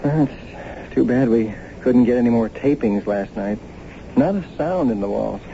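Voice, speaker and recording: A man's voice: medium pitch, measured pace, gravelly. An American man acting in an old-time radio drama as a skeptical, rational young husband who brushes off fears. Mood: easygoing. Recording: muffled, noisy radio drama broadcast.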